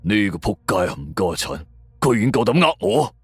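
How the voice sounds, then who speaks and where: A man with a Hong Kong Cantonese accent voicing a curt, gruff veteran police investigator in an audio drama. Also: fairly low pitch, very animated, normal speed, dry recording, studio quality, heavily acted. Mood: angry. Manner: curt, commanding. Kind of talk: audio drama character dialogue. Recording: background music under voice.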